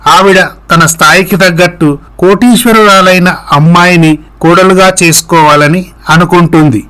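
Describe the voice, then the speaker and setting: An earnest young man in love voiced by a male storyteller, pleading and reassuring in a moral tale. His voice is slightly high for a man. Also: steady tone, normal speed, pushed and bright.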